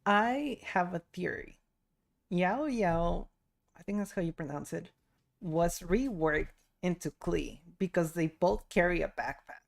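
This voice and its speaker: A woman's voice: on the low side, creaky. A woman livestreaming a game with casual, rambling, giggly chat. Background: game music.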